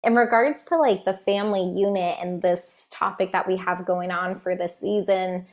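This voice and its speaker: A woman's voice: fairly low pitch, steady tone, normal speed. Upbeat woman hosting a podcast. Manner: upbeat and enthusiastic. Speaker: podcast host.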